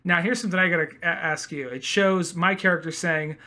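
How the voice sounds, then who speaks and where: A man's voice: on the high side, fairly even intonation, brisk, pushed and bright. A young man co-hosting a podcast with casual, conversational commentary peppered with "like". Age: young adult. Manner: conversational, filler-heavy with frequent "like". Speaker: podcast co-host.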